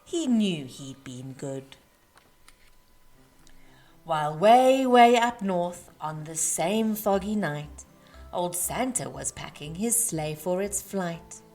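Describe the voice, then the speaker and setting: Woman storyteller, unhurried delivery, emphatic rhyming read-aloud for kids, soft music behind. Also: lowish voice, lively intonation. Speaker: storyteller reading a children's book aloud.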